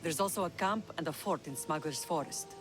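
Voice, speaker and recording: An actress playing the fierce, vengeful mother of the hero in a game cutscene, who argues forcefully for bloodshed. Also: deep voice, brisk, over music, natural voice. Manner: forceful, scheming.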